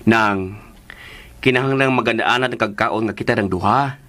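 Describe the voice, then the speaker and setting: A man's voice: lowish voice, moderate pace, pushed and bright. An actor playing a nagging, practical man of the household in a Philippine radio drama, with a Cebuano Filipino accent. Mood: nagging, teasing. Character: nagging, practical household companion urging supper.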